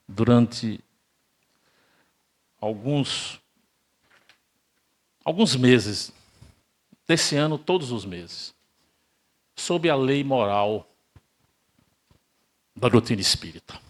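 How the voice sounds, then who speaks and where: An older man from Brazil giving an emphatic spiritist lecture, asking rhetorical questions and swinging between loud and hushed delivery. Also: medium pitch, lively intonation, very slow, pauses often. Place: spiritist center hall, live audience.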